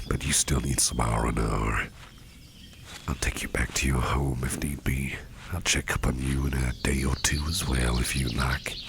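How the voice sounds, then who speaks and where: A man playing a protective, faintly sinister businessman boss in an ASMR roleplay, speaking in a soothing, unhurried, gravelly half-whisper. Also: very deep voice, fairly bright tone, a little hiss or hum, full character voice. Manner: soothing, unhurried, repeats reassurances. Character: sinister yet protective businessman boss, male, smooth-talking, doting.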